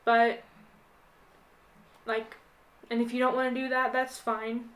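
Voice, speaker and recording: Young woman, high and even-toned. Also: slow. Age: young adult. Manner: informal, frequent "like". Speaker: podcast guest. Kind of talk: casual chat.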